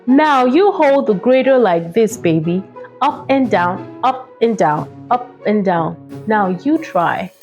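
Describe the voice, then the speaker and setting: A woman's voice: mid-range voice, lively intonation, takes it slowly, a little mellow, muffled recording, soft background music. A woman voicing a loving grandmother from a children's storybook, affectionately teaching and encouraging a child. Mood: patient, instructing. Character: loving, instructive grandmother in the kitchen.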